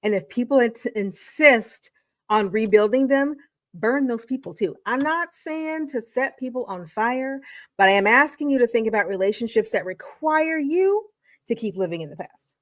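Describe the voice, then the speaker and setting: A woman's voice: fairly high pitch, expressive, moderate pace, no room sound, clean studio sound. A woman educator in her forties or fifties giving emphatic, motivational video commentary, repeating phrases for effect. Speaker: educator and channel host.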